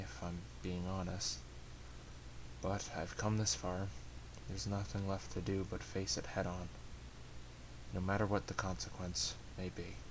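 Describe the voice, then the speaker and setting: An amateur male narrator reads a story in the first person. His voice sits fairly low and is subdued and soft-spoken. There is a light hiss on the home recording.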